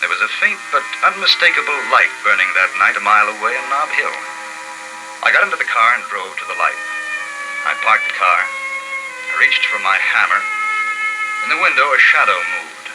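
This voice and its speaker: An actor with an American accent playing a grave, haunted lone survivor in a 1950s radio drama, with a brooding, emphatic delivery. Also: male voice, fairly low pitch, sing-song, normal speed, pauses often, low-quality recording. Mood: tense, hushed. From California. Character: solitary male survivor narrating a post-apocalyptic world, grave and haunted. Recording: old radio broadcast with music behind.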